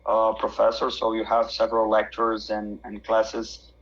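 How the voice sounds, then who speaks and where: A man's voice: on the low side, completely flat delivery, unhurried, creaky, a bit bright, husky, highs cut off. A man from Brazil hosting a live online interview, speaking hesitantly with frequent fillers and affirmations.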